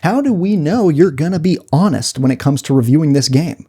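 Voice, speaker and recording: A man hosting a gaming video, narrating a casual scripted review in a jokey, profane, expressive way. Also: on the high side, a little fast, slightly rough, no room sound, studio quality. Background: background music.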